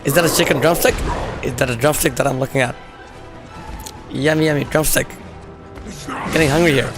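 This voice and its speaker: A man's voice: mid-range voice, fairly even intonation, fairly quick, over music. A male game streamer who is losing his voice, chatting casually with viewers while playing, laughing often and using lots of "you know" fillers. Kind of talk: casual live-stream chat while gaming.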